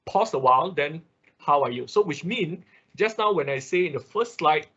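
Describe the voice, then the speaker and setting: A man with a slightly high voice teaches an online technical class. He is a non-native English speaker and explains each step in turn, punctuating with small check-in words. The audio is dull and lo-fi. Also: lively intonation, normal speed, fairly bright tone, breathy edge. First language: not English. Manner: step-by-step explaining, often checking with "okay" and "right". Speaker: instructor of an online robotics programming class. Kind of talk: live tutorial with screen demonstration.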